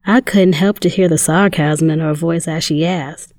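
A woman acting an excitable, supportive, newly engaged sister in an audio drama, chatty and eager. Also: low voice, moderate pace, dry recording, clean studio sound, natural voice.

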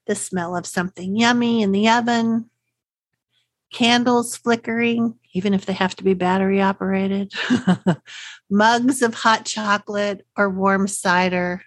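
Middle-aged Texan woman, podcast host, friendly and chatty, mid pitch, dry close sound. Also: dry recording. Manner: friendly, chatty, asks rhetorical questions. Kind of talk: scripted solo podcast talk.

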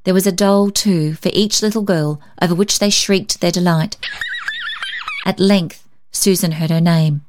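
Woman reading a story, slightly low voice. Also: steady tone, unhurried, darkish tone. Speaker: story reader. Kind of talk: expressive scripted storybook narration.

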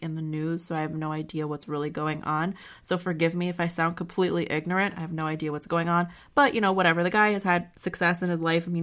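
A woman's voice: fairly low pitch, a bit bright. A young woman hosting her own podcast, chatting casually and rambling with lots of fillers, her voice nasal and congested from sinus trouble. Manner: chatty and rambling, many fillers and self-corrections. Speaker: podcast host. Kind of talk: casual solo podcast chat.

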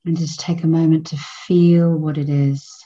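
A woman leading a guided meditation in a soothing, unhurried manner with long pauses between phrases. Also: low voice, level tone, warm tone, highs cut off.

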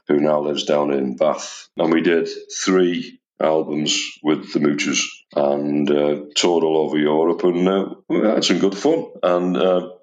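A man in his forties with a deep, slightly gravelly voice, answering interview questions in a modest, reflective way. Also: echoey room, poor audio. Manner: modest, reflective, with many uh and restarts. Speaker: blues musician guest.

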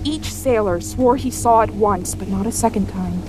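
A woman's voice: medium pitch, moderate pace, lightly acted, rough recording. A woman playing a solemn, haunted sailor who narrates a whaling voyage in a grave, incantatory audio drama style. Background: music and soundscape.

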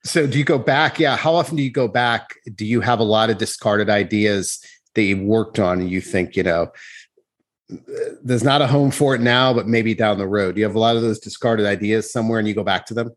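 Low-pitched man hosting an interview podcast. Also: fairly quick, no room sound, clean studio sound. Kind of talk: conversational interview and introduction.

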